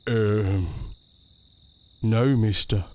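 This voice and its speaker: Slow, timid, simple-minded lad. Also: male voice, lowish voice, steady tone, a little mellow. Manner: halting, simple, speaks in third person. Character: timid, childlike, simple-minded homeless young man. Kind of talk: audio drama acting.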